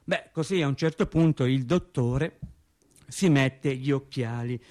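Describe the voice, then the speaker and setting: A male actor playing a wry storyteller recalling boyhood with childlike logic, in an expressive, conversational theatrical monologue. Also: medium pitch, measured pace, close to an everyday voice. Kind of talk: theatrical first-person radio monologue.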